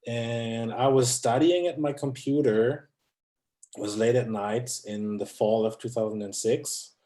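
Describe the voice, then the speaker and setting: A man in his thirties speaks English as a second language, picking his words with pauses, fillers and restarts. His voice sits at an ordinary middle pitch, and it carries a noticeable echo from the room around him. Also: steady tone, mellow. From France. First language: not English. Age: 30s. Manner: hesitant, many restarts and fillers. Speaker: guest. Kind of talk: conversational interview.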